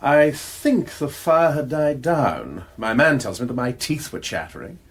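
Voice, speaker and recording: A man's voice: mid-range voice, expressive, a little slow, slightly rough. A man playing a nimble-witted aristocratic detective in a British radio drama, with an upper-class English accent and an airy, wordy, self-deprecating manner. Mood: subdued, candid. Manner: airy, wordy, drily teasing.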